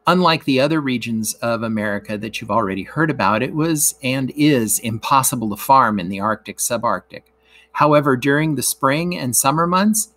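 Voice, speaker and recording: A man's voice: medium pitch, fairly bright tone. Man reading an educational text aloud. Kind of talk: scripted educational read-aloud narration.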